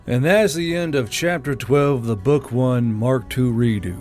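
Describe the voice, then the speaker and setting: A man narrating an audiobook in a plain, unhurried way, then casually thanking channel supporters. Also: mid-range voice, a little mellow, faint music behind. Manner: plain, unhurried storytelling; casual and blunt outro.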